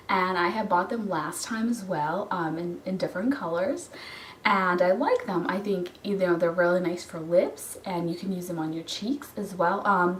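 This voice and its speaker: A woman with a cold and a hoarse voice, chatting casually through a makeup haul review with frequent ums and restarts. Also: on the low side. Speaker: beauty vlogger. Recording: roomy echo, from a distance, faint noise.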